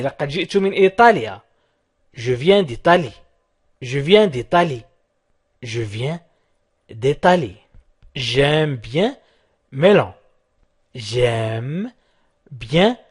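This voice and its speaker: Brief: male language tutor, higher-pitched, husky tone, repeats short phrases for drilling. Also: expressive. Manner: repeats each short phrase slowly several times. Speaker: language teacher.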